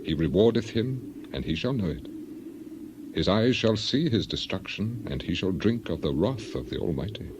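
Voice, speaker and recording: A man's voice: lowish voice, sing-song, normal speed, highs cut off. An actor playing a bitter, defiant, suffering man of ancient times in an impassioned scripture dramatization. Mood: questioning, indignant. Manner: impassioned, declamatory. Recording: clearly noisy background.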